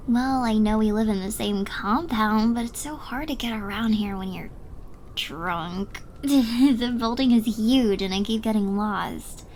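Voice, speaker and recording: A woman's voice: a little high, unhurried, a little mellow, slight background noise. A young woman playing a drunk, giddy, smitten rival in an ASMR roleplay, rambling and stammering as she trails off. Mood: giddy, tipsy.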